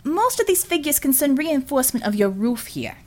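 A woman's voice: high-pitched, sing-song, a little fast, a little mellow, pitched above the performer's own voice. A woman voicing a heavy-set, plain-spoken American union contractor in an audiobook, with an American accent and folksy, colloquial manner. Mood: confident. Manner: folksy, colloquial, blunt shop talk.

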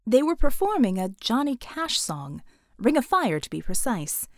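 A woman's voice: a little high, very animated, normal speed, no echo, studio quality. A woman reading an audiobook, narrating fiction expressively from a script.

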